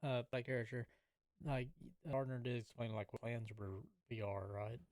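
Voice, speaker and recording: A man playing a tabletop roleplaying game, talking casually and haltingly as he feels out his questions. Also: mid-range voice, steady tone, darkish tone, no room sound.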